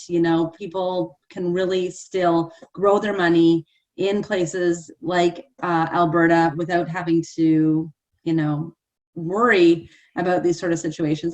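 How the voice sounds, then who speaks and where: An enthusiastic woman hosting a live panel, chatty and full of "like" and "you know". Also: lowish voice. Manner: enthusiastic, chatty, many fillers. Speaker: host.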